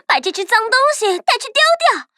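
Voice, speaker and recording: A woman voicing a haughty young cartoon princess who screams in a sharp, frightening voice. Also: extremely high pitch, sing-song, a little fast, dry recording, clean studio sound, pitched above the performer's own voice, full character voice. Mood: shrieking. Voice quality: sharp, shrieking. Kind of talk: cartoon dubbing.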